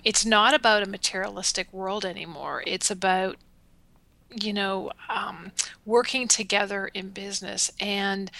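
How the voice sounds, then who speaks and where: Canadian woman guest, bright and forward tone, friendly and chatty, dry video-call audio. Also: medium pitch, no echo. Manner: friendly, chatty, searching for words. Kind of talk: interview over a video call.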